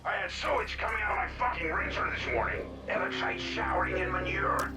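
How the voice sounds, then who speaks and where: A man's voice: high voice, lively intonation, brisk, recorded from a distance, heavy background noise. A man playing an angry, foul-mouthed boss in a video game, barking blunt demands. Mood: furious. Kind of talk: video game character dialogue.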